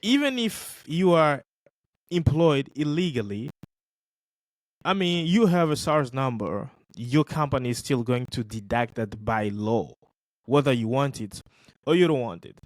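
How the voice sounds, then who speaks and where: A man's voice: mid-range voice, expressive, a little slow, dry recording. A man hosting a podcast, giving casual commentary on video clips, for whom English is not his first language, emphatic and repeating points for stress. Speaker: podcast host reacting to clips.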